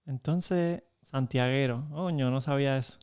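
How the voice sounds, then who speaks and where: A man hosting a casual podcast, chatty and quick to laugh, introducing his guest. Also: mid-range voice, darkish tone, smooth. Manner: chatty, laughs easily, many fillers. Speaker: podcast host.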